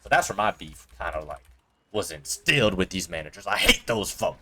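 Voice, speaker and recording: A man's voice: low voice, very animated, a little fast, very noisy. A young man from Colorado telling a casual, profane story to camera as a vlogger, heavy on slang fillers, recorded at home on a cheap desk mic. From Colorado, USA. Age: young adult. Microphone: cheap desk mic resting on a coin jar.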